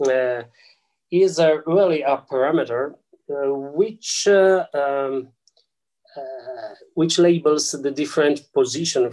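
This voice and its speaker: A man with an Italian accent, a non-native English speaker, explains physics and theology as an interview guest, pausing often with filler sounds and restarting his sentences. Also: medium pitch, a little mellow, low-quality recording. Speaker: guest scholar.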